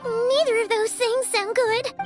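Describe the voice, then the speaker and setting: A woman voicing a tiny, chatty, excitable female companion sprite in a game cutscene, talking about herself in third person. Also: very high-pitched, measured pace. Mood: worried. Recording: game cutscene with music underneath.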